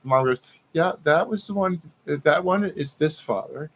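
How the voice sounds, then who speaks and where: Man, slightly high voice, casual podcast co-host, rambling and halting, homey storytelling. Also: a little hiss or hum.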